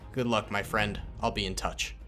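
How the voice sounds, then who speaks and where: A man's voice: lowish voice, steady tone, normal speed, bright, forceful tone, faint music behind. A man narrating a fan-made war story and voicing several soldiers in dialogue. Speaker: narrator and voice of most characters. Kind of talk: fan-made cutscene narration with character voices.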